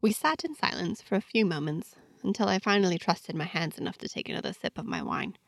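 A woman narrates first-person fiction with lively, expressive delivery. Her pitch moves widely, with some gravelly creak at the edges, and the sound is very dry. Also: brisk, dry recording. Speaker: host and narrator of a fiction podcast.

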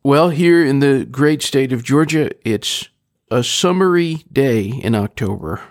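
A male host, relaxed and quick to laugh, chatting with his guest. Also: mid-range voice, no echo, clean studio sound. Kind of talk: casual interview chat.

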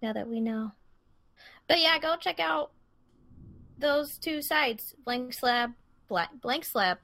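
A woman's voice: high-pitched, lively intonation, slow pace, vocal fry, sharp tone. A woman co-hosting a podcast in casual chat, bubbly and chatty, laughing easily while plugging friends' work. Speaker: podcast co-host.

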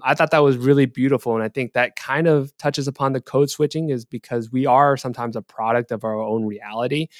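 A man's voice: medium pitch, no echo. A man in a mid-range voice, joining a podcast as a guest. He works through his ideas thoughtfully, hedging and restarting as he goes. The recording is dry and close. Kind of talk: casual podcast discussion.

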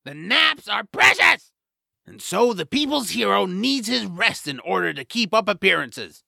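Lazy pompous male troll, grumpy, gravelly creak, high pitch, family audio drama. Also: sing-song, a little slow, sharp tone, no echo, heavily acted. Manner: cranky, pompous.

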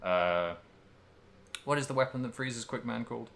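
A man's voice: mid-range voice, moderate pace, gravelly, fairly bright tone. A man co-hosting a podcast, talking casually about video games in a rambling way, as if thinking aloud. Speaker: podcast co-host. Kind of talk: casual video game discussion.

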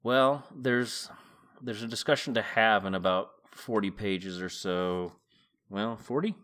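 Male podcast host, middle-aged, slightly low pitch. Book narration and informal, reflective solo commentary. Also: unhurried, fairly bright tone. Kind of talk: book read-aloud and casual solo commentary.

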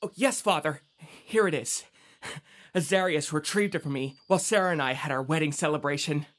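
A man's voice: on the high side, a little fast, fairly bright tone, close to an everyday voice. A man playing a dutiful, grateful young newlywed son in a religious audio drama, earnest and respectful. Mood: respectful, cheerful.

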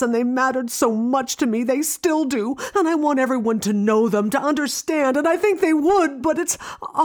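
A woman playing a grieving grown daughter, narrating an intimate audio drama about memories of home with tender, dramatic pauses. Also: a little high, darkish tone. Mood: urgent, emotional. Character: grieving grown daughter recalling her childhood home with wonder. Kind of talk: intimate first-person audio drama monologue.

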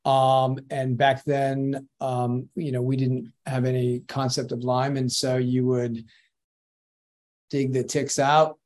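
Flat-toned man telling his story. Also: mid-range voice, takes it slowly. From Long Island, New York. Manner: relaxed, many ums and restarts. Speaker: podcast guest. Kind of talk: casual interview storytelling.

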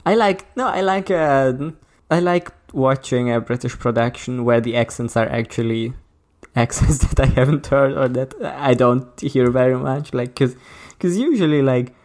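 A man's voice: mid-range voice, moderate pace, hardly any pauses, darkish tone. A young man co-hosting a podcast, talking hesitantly with many "like"s and restarts. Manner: hesitant, lots of fillers and restarts. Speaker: podcast co-host. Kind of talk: casual chat.

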